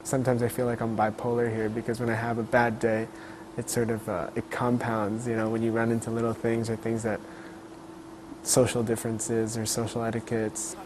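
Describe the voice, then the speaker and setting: A man of twenty-five from Queens, New York, speaking in an interview in a measured way, pausing with "uh" as he finds his words. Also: medium pitch, completely flat delivery. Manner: measured, pauses with "uh" while he searches for words. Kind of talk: casual interview talk. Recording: noisy background.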